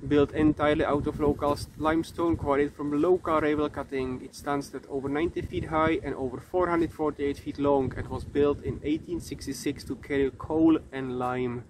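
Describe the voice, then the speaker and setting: Non-native English man in his 30s, hesitant walking-vlog host, slightly high voice, phone at arm's length, wind and stream noise behind. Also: steady tone. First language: not English. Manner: hesitant, many fillers, halting pronunciation. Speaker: vlogger. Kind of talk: casual walking vlog commentary. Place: outdoors in countryside by a river. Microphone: phone held at arm's length.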